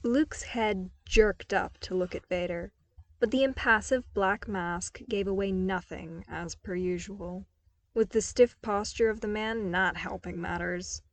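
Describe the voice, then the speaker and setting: A woman's voice: medium pitch, fairly even intonation, measured pace, no room sound. A woman reading scripted fiction aloud as the narrator of an audio story. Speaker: podfic narrator.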